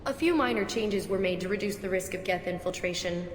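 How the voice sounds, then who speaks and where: A woman's voice: brisk, natural voice. Actress as clipped female security officer, echoey. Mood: formal, guarded. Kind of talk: video game voice acting. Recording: echoey, reverberant, background rumble.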